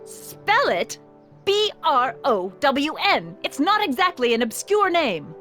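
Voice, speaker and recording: A woman's voice: high voice, sing-song, slow, a bit bright, exaggerated voice. An actress playing a prim, bossy and zealous young woman in a video game, with precise, haughty and emphatic delivery. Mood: indignant. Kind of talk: animated video game voice acting. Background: music.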